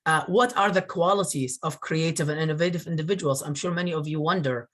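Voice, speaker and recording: A man from northern Iraq giving a webinar over a video call, earnest and grateful, often pausing with fillers. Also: a little high, a bit bright. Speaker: webinar presenter, career coach. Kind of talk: presentation.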